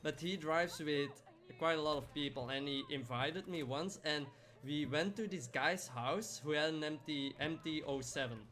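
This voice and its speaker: A man's voice: on the high side, normal speed, a bit bright. A young man chatting casually on a live stream while gaming, rambling through stories and answering chat by name.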